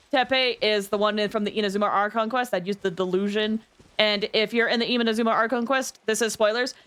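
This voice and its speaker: A woman hosting a livestream, chatting casually with viewers in an expressive, emphatic way. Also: a little high. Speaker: livestream host.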